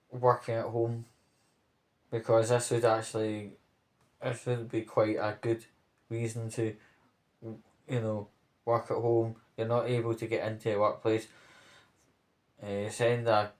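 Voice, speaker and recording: A man giving off-the-cuff spoken commentary on local news, rambling, pausing mid-phrase and checking in with the listener. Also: on the low side, darkish tone.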